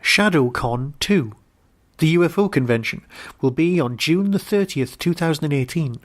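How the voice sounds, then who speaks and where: A man's voice: on the high side, lively intonation, normal speed, no room sound. A man hosting a podcast, reading out a scripted audio drama review.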